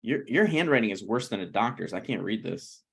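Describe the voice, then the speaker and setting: A man's voice: lowish voice, animated, brisk, creaky, a bit bright, breathy edge, full character voice. A man reading the part of a flippant, needling, self-deprecating screenwriter in a conversational comedy table read. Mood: wry, teasing.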